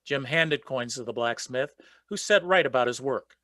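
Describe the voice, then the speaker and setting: A man's voice: mid-range voice, animated, normal speed, bright, forceful tone, no room sound, studio quality. A man narrating a folk legend as the storyteller in a scripted radio play. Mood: storytelling.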